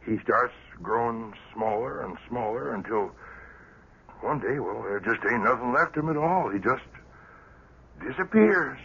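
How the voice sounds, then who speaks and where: An American actor playing a sly, gleeful small-town prankster in a radio drama, folksy and mischievous, with a rough, husky voice. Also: male voice, mid-range voice, lively intonation, measured pace, pushed and bright, exaggerated voice. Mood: mock solemn. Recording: muffled lo-fi old radio broadcast.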